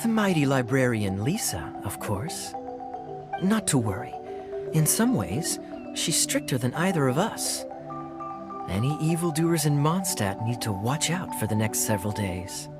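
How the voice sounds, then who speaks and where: Smug charming game knight, slow drawl, pitch goes all over, teasing. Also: male voice, very animated. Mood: smooth, reassuring. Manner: playful, drawling, insinuating. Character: suave, teasing young male knight, smug and easygoing. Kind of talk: video game dialogue. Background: background music.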